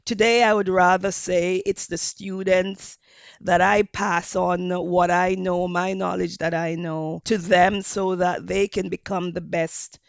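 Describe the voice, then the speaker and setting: Jamaican woman, gravelly voice, measured interview answers, dry lo-fi recording. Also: lowish voice, level tone, dry recording. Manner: measured, with frequent fillers and restarts. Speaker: hospitality professor, guest.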